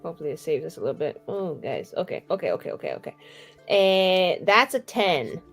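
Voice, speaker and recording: A woman's voice: on the low side, sing-song, brisk, creaky, faint music behind. A woman playing a tabletop roleplaying game, chatting casually in a playful, informal way.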